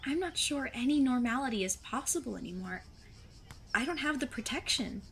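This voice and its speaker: An earnest, plucky teenage girl sleuth acted by a woman in an audio drama. Her voice is fairly high with a marked creak, and she phrases things formally and precisely. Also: expressive, a little fast, fairly bright tone. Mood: self-doubting.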